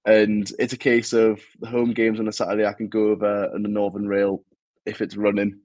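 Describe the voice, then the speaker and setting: Young Geordie bloke, podcast co-host, low-ish voice, dry close mic, chatty match analysis. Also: male voice, completely flat delivery, fairly quick, a little mellow, dry recording. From Newcastle, England. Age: young adult. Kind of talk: casual football podcast chat.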